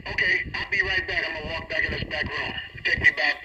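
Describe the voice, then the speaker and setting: A man's voice: high-pitched, expressive, quick pace, vocal fry, distant mic. A man chatting casually over a CB radio transmission. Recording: dull, noisy CB radio pickup.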